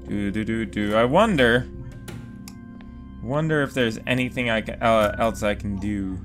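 A man's voice: a little high, a bit bright. A young man casually commenting on a horror game he is playing, rambling, swearing often and talking to himself. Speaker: gaming video host. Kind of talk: casual let's play commentary. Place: home room.

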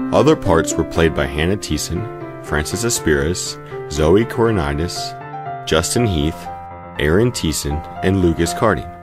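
A man reading scripted credits as the announcer of an audio drama programme. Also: low-pitched. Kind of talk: scripted radio-style credits. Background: loud guitar music.

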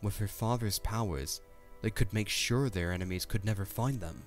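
A male narrator reads a scripted fantasy audio drama. His voice is low in pitch. Faint music runs behind the narration. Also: moderate pace.

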